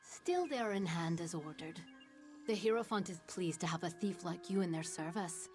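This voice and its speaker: A woman voicing a solemn, mournful fey herald in a fantasy game, speaking in a grave, measured, formal way. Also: lowish voice, a little mellow, faint music behind, lightly acted.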